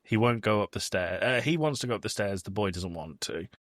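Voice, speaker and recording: A man's voice: on the low side, animated, fairly quick, no echo, studio quality. A man hosting a podcast, recapping a film in casual discussion and often repeating words as he thinks. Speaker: podcast host.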